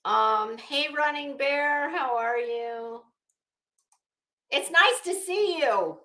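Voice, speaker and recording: Slow-talking, bright-voiced woman chatting on a livestream. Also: sits high, expressive. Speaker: livestream host. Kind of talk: casual livestream chat, greeting viewers. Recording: echoey room.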